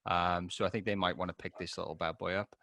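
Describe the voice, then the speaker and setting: Bright-voiced Welsh man, close and dry. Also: low-pitched, steady tone, fast, gravelly, dry recording. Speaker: film review show co-host. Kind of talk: casual film review chat.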